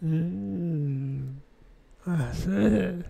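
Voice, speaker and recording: A man giving casual, halting commentary as the host of a video game playthrough. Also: on the high side, gravelly, warm tone.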